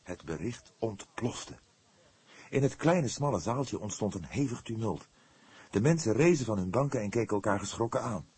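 A man reads a detective novel as a dramatic, measured and suspenseful audiobook narration. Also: lowish voice, animated, a little mellow, breathy edge.

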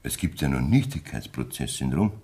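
An actor playing a boastful, vindictive middle-aged Bavarian farmer in a radio drama, speaking coarse, earthy dialect. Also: male voice, extremely low pitch, lively intonation, moderate pace, warm tone, husky, heavily acted. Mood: defiant, vengeful.

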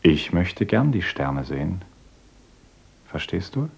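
An actor playing an idealistic, naive young village teacher in a radio drama, who talks in a mild, hesitant way. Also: male voice, low voice, big pitch swings, takes it slowly, gravelly, muffled recording, slight background noise, exaggerated voice. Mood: earnest.

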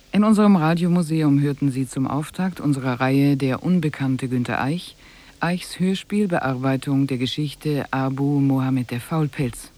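A man's voice: fairly high pitch, a little mellow. A man reading a scripted broadcast announcement as a radio presenter.

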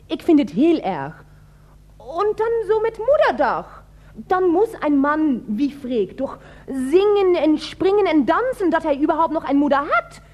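A woman's voice: sits high, sing-song, measured pace, darkish tone, highs cut off. A woman playing a gushing, concerned sweetheart in a radio comedy, theatrical, cooing and dramatically sympathetic. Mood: dramatic concern.

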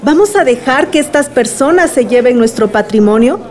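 Actress playing anxious village mother, high-pitched, questioning, radio drama. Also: animated, moderate pace, a little mellow, a faint crowd. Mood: worried.

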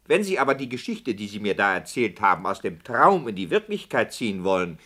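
An actor playing a radio drama director who protests and argues emphatically with the author. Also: male voice, on the low side, sing-song, a little fast, sharp tone, heavily acted. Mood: argumentative. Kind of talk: radio play acting.

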